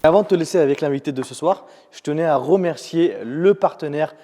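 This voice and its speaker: A man hosting a live event who reads a peppy sponsor spot straight to the viewer. His voice sits a bit high and sounds mellow and dark in tone. A long reverb trails his words in the hall. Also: a little fast. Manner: upbeat, salesy, speaks straight to the viewer. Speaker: event host. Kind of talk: sponsor read before a talk.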